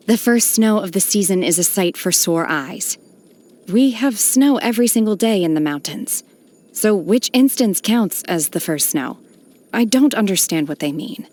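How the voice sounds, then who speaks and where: A slightly low-voiced young female exorcist acted by a woman for a video game. She is aloof and stoic, choosing her words with calm formality. Her delivery stays measured and reserved, like someone raised by immortals far from society. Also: close to an everyday voice. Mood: puzzled. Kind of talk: scripted video game character voice lines.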